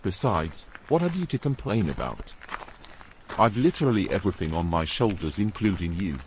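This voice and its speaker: A man's voice: sits low, big pitch swings, normal speed, slight background noise. A man playing a grumbling, exasperated adventurer in a fantasy audio drama, haughty and formal in his phrasing. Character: grumbling, exasperated young adventurer carrying a mermaid.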